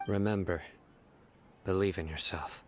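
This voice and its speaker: A man's voice: low voice, steady tone, a little slow, slight background noise. A man voicing a confident, encouraging adult man in an anime-style game, speaking in an assured, declamatory way.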